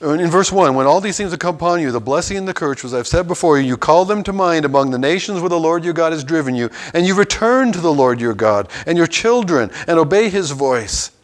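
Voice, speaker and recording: A man's voice: on the high side, animated, a little fast, few pauses. A man teaching a Bible class, reading scripture passages aloud and stopping to explain them in an everyday way.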